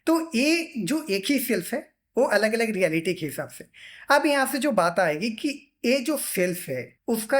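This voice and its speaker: A man with a high-pitched voice delivers an informal lecture as a teacher. He explains step by step in a repetitive way, setting up questions and then answering them himself.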